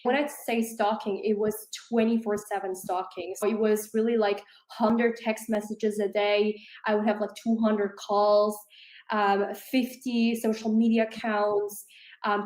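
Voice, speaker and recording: A woman's voice: medium pitch, little pitch movement, measured pace, darkish tone, roomy sound. A woman in her thirties, raised in Switzerland, telling her life story earnestly as an interview guest. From South Korea, raised in Switzerland. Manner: earnest, fluent with many "like" fillers. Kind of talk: conversational personal story.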